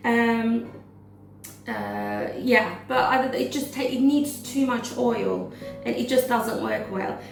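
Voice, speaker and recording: A woman with a slightly hoarse, gravelly voice talks through a recipe for her cooking vlog, chatty and full of "um"s. She sits a bit higher in pitch, and she's picked up from a distance in an echoey kitchen with music playing behind her. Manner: chatty, with many "um" fillers. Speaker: cooking vlogger. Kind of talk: casual cooking demonstration.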